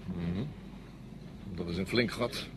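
An actor playing a self-important senior officer in a radio drama, clipped and commanding. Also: male voice, deep voice, slow pace, noisy background.

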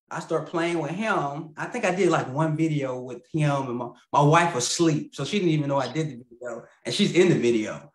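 A man being interviewed over a video call about his puppets, rambling and stopping to apologize, laughing easily. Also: on the high side. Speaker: puppeteer guest. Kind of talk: casual interview chat. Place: home room with a lamp and plant. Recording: echoey room on a video call.

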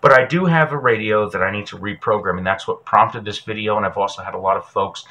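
Bright-voiced American man explaining plainly. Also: on the low side, fast talker, poor audio. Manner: folksy, jokey plain-spoken explaining. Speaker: host of a survival show, instructor. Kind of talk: informal how-to tutorial to camera. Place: small indoor room with patterned wall.

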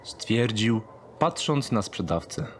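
A man reading scripted third-person narration for a dark thriller audiobook. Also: on the low side, expressive, slow, slight background noise.

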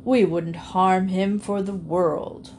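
A woman's voice: medium pitch, fairly even intonation, takes it slowly, darkish tone, slight background noise, close to an everyday voice. A woman voicing an ancient, sly male alligator who speaks with false politeness. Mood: oily.

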